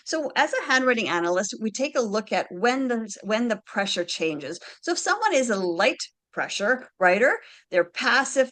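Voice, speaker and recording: A woman's voice: medium pitch, a bit bright. A woman, a Slovene speaker, presenting handwriting analysis to a group over a video call in a chatty, explanatory way, often checking in with "right?" and "alrighty".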